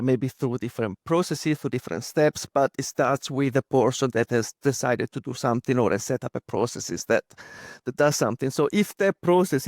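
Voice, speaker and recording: A man's voice: medium pitch, darkish tone, no echo, studio quality. A man in his thirties with an Italian accent, talking as a podcast guest with many fillers and restarts. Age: 30s. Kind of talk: casual interview talk.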